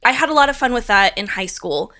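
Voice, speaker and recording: Woman, young adult, stage manager, casual interview, chatty, upbeat, bright tone. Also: fairly high pitch, animated, quick pace. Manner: chatty and upbeat, many fillers.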